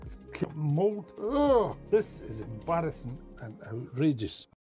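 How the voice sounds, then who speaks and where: Guy doing an outraged kitchen inspector, scolding, swooping pitch, mellow tone, music behind. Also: big pitch swings, slow. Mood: disgusted. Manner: emphatic, scolding. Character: outraged, blunt male celebrity kitchen inspector.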